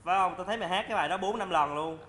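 A man's voice: sits high, very fast, long reverb tail, over loud music. A young man with a Southern Vietnamese accent hosting a casual, playful comedy talk show. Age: young adult. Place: indoor studio set dressed as an old drinks shop.

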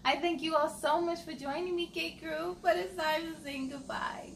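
A woman in her thirties reading aloud to children as a storytime host, with an encouraging, animated manner and simple phrasing. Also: very high-pitched, normal speed, slight background noise. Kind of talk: children's read-aloud, addressing young listeners.

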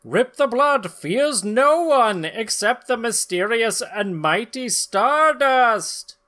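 A man's voice: extremely high pitch, expressive, slow pace, sharp tone, pitched above the performer's own voice, exaggerated voice. A man playing an earnest, dramatic narrator of a pulp comic-book adventure. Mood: grave, dramatic.

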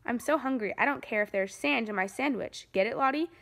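A hearty, feathered young sailor from a children's storybook, voiced by a woman reading aloud. He calls out in a raised, fairly high voice. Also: a little fast, vocal fry, fairly bright tone. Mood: cheerful.

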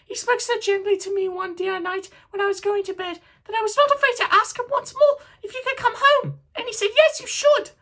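A man's voice: fairly even intonation, brisk, few pauses, sharp tone, full character voice. A man doing a squealing, gleeful little girl. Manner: very high, squealing and laughing.

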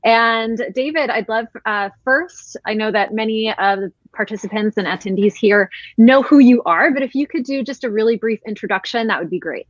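A woman's voice: fairly high pitch, moderate pace, few pauses, no room sound. A woman in her thirties hosting an interview in an upbeat, chatty way with many fillers, over earbuds on a video call. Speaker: host, company founder. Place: room at home. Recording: dry, dull video call audio.

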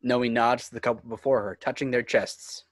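A man's voice: mid-range voice, fairly even intonation, brisk, no echo. A man reading scripted screenplay narration, describing scenes and action. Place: indoors on a home video call.

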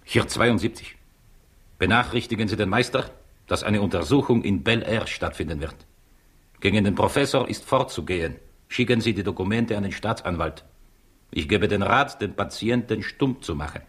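A secretive conspirator in a 1960s radio thriller. His voice is very low and husky. He is curt and ominous, used to giving orders. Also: normal speed, fairly bright tone. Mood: commanding. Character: menacing, commanding male conspirator, curt and secretive. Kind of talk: 1960s German radio crime play acting.

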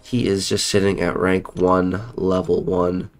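A young man talks through a mobile game in a casual, rambling way, often restarting his sentences. His voice sits a little low and sounds slightly off from being ill. He is recorded at home on a headset mic. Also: level tone, unhurried, soft background music. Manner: chatty, rambling, self-correcting. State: sick, voice a bit off. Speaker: mobile game content creator. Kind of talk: casual gameplay commentary. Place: home room, indoors.

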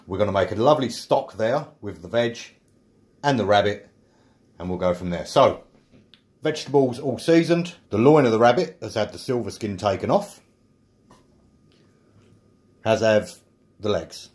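A man's voice: lowish voice, lively intonation, normal speed, pauses often, a little mellow. Chatty man in a kitchen. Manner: chatty, self-mocking, explains each step. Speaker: home cook. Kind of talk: casual cooking narration. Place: small home kitchen.

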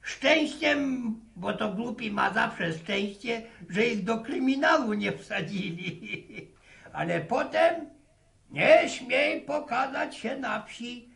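Creaky, high-voiced old village grandfather. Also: expressive, slow pace, a bit bright, exaggerated voice. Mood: amused storytelling. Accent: rural Polish dialect. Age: older. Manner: folksy, mocking, repeats words. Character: teasing old village grandfather, sly and folksy. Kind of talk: radio drama acting. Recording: roomy, distant radio-play sound.

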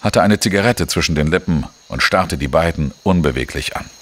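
An actor playing a world-weary investigative journalist in a crime radio drama, speaking in a measured, sombre, terse way. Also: male voice, rumbling low, expressive. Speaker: narrator and lead actor. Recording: music behind the voice.

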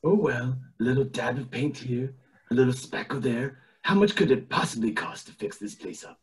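A man voicing a scheming, self-pitying old tycoon with theatrical, drawn-out delivery in an amateur cartoon table read. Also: medium pitch, lively intonation, darkish tone, close to an everyday voice. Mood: glum.

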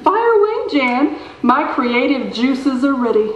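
Woman playing high-voiced, breezy theater director, echoey. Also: sing-song, measured pace. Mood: self-assured. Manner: theatrical, condescending, chummy. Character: breezy, self-assured woman small-town theater director. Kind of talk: old-time radio show acting on stage. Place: theater stage before an audience. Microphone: stand microphone, camera at a distance. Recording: dull, echoey live stage recording.

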